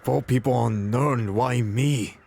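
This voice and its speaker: Man acting a slow, halting, bewildered mercenary hero. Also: fairly low pitch, breathy edge, heavily acted. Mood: shaken, awed. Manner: halting, breaks off and repeats phrases. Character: bewildered male mercenary, newly chosen dragon-slaying hero. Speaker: player voicing his own game character. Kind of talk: immersive fantasy roleplay acting.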